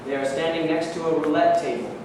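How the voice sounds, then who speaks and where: A highish-voiced man reads stage directions as scripted narration. He is far from the mic in a very echoey hall. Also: fairly even intonation, brisk. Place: live staged reading in a reverberant room. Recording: very echoey hall, distant mic, clear noise.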